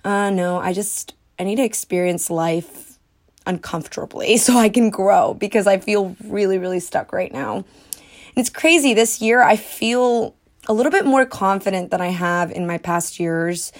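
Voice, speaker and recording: A woman's voice: medium pitch, normal speed. A woman in her twenties hosting a solo podcast, talking in a casual, dramatic, self-mocking way with frequent giggles. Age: 20s. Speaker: podcast host.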